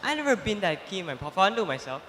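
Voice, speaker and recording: A man voicing a smooth-talking male stranger who wheedles and confides, in an echoey hall. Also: high-pitched, sing-song, a little fast, a bit bright, a little hiss or hum. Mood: wheedling.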